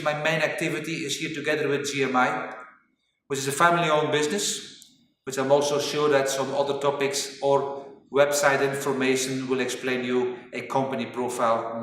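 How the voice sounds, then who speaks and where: A middle-aged man with a Flemish Belgian accent delivers a corporate training introduction at an ordinary male pitch. He explains deliberately, leaning on the openings of his phrases. The room rings with heavy echo, and he sounds set back from the microphone. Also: a bit bright. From Belgium (Flanders). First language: Flemish Dutch. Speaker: webinar presenter, safety engineering trainer. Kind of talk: corporate webinar presentation. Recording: very echoey reverberant room, distant mic.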